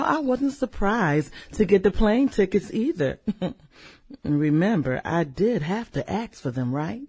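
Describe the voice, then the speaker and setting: An actress in a satirical radio drama playing a sultry, manipulative businesswoman with a theatrical, coquettish manner and breathy delivery. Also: mid-range voice, dark tone, full character voice. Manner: theatrical, coquettish, drawn-out exclamations and giggles. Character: sultry, demanding, manipulative businesswoman juggling rich lovers.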